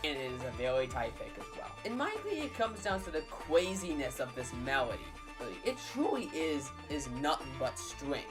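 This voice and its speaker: A woman's voice: deep voice, very animated, a little fast, few pauses. A woman hosting a casual countdown video, often repeating words and restarting her sentences, with music playing behind her. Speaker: YouTube list-video host.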